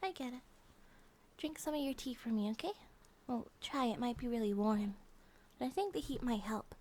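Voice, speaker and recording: A woman voicing a gentle, affectionate monster-girl bear wife in a soft ASMR comfort roleplay, often dropping to a whisper. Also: fairly high pitch, moderate pace, lightly acted. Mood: soft, caring.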